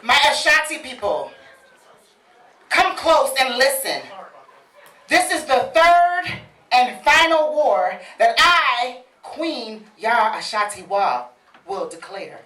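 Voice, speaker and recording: Woman playing a defiant warrior queen, high and ringing. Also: very animated, sharp tone, heavily acted. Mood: proclaiming. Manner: declamatory, commanding, dramatic pauses. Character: proud, defiant African warrior queen rallying her people. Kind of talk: theatrical stage monologue. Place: live stage play at a market venue. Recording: echoey, distant live stage recording.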